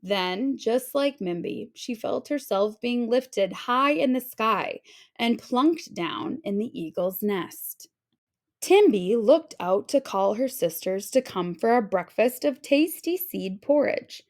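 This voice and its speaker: Woman, storybook narrator, rather high pitch, very unhurried pace, expressive read-aloud delivery. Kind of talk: scripted children's story narration.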